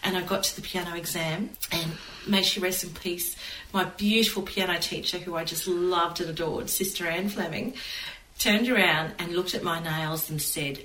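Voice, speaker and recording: A woman's voice: lowish voice, fairly even intonation, normal speed, sharp tone. Chatty woman in an echoey room. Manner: chatty, giggly. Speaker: podcast co-host. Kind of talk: casual chat, personal anecdotes. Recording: echoey room with faint noise.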